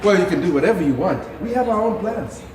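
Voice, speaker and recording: A man's voice: high-pitched, quick pace. A man playing an indignant, exasperated middle-aged father and estranged husband in a stage play. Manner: indignant, animated. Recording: echoey, very noisy theatre recording.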